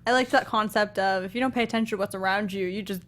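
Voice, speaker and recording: A woman's voice: medium pitch, fairly even intonation, fast talker, fairly bright tone. A woman co-hosting a film discussion show, speaking casually and conversationally. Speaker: talk show co-host.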